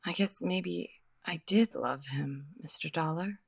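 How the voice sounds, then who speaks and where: An actress as a jaded widow from an old radio detective play. Her voice sits very low and crackles with fry. She measures her words, guarded and bittersweet, hesitating as she goes. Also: heavily acted. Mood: subdued, resigned. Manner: world-weary, hesitant, measured. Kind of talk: 1950s radio detective drama acting.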